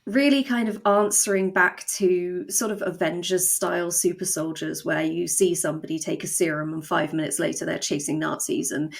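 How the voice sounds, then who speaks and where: A woman's voice: lowish voice, fairly even intonation, normal speed, vocal fry, hardly any pauses. A woman talking as an interview guest about her creative work, explaining conversationally with wry, dry humour. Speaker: guest, audio drama co-creator.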